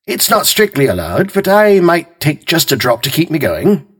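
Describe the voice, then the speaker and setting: A man voicing a kindly, tired middle-aged police sergeant who speaks in a gruffly polite, obliging way, with a husky voice. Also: fairly high pitch, big pitch swings, moderate pace, a bit bright, voice pushed up, exaggerated voice.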